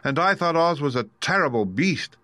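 A man voicing an indignant, heartfelt tin woodman in a storybook, with a forceful, complaining manner. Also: a little high, expressive, moderate pace, dry recording, voice pushed up. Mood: dismayed.

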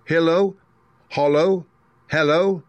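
A man's voice: medium pitch, big pitch swings, moderate pace, dry recording, pitched above the performer's own voice. A man voicing a young, earnest and hopeful girl in a storybook reading. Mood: chanting.

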